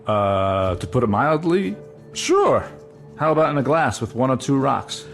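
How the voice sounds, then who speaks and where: A man's voice: medium pitch, animated, measured pace, vocal fry. An actor playing a wry, down-on-his-luck sailor in an audio drama, with an American accent and a casual, slightly hesitant way of talking. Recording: music under the dialogue.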